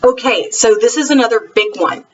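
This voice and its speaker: A woman's voice: on the high side, expressive, normal speed, distant mic, highs cut off. A woman talking casually and enthusiastically, like a homeschool vlogger reviewing books. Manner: chatty, enthusiastic. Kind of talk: casual vlog book review.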